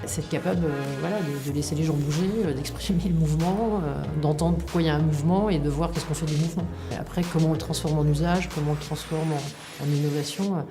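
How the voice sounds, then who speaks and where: A man giving a reflective interview answer in halting, repetitive phrases. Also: fairly high pitch, fairly even intonation, over music.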